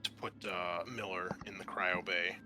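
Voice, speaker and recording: Guy game mastering a tabletop rpg, kinda low bright voice, loose halting narration, music under it. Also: flat delivery. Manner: informal, halting, improvised narration.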